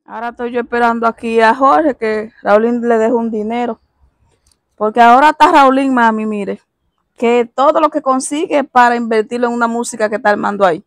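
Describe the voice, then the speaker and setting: A woman's voice: fairly high pitch, brisk, dry recording. A woman grumbling and scolding on a porch in a comedy sketch. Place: outdoor porch of a rural house.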